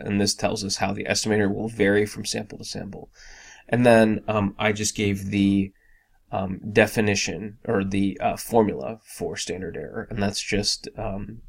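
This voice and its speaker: A young man with a cold, his voice hoarse and raspy, giving a casual statistics lecture full of ums and restarts. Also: fairly low pitch. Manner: informal, filler-heavy, many ums and restarts. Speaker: business statistics instructor.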